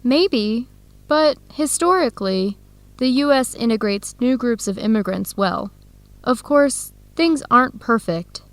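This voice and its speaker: A woman's voice: a little high, lively intonation, measured pace, dry recording. A woman playing a friendly young woman in scripted dialogue for an educational radio drama. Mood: thoughtful.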